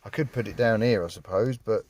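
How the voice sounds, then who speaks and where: British bloke rambling thru a diy car vlog, deepish voice, dry grumbly humour, bit of noise behind him. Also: male voice, a little fast. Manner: rambling, wry, self-mocking grumbling. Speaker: hobbyist filming a car radio install. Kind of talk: casual DIY vlog chat. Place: inside a car.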